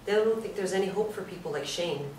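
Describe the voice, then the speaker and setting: Woman acting kindly confiding nurse, frank, lowish voice, stage drama, roomy distant recording. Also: fast talker, lightly acted. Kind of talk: staged play reading. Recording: roomy stage, distant mic, faint noise.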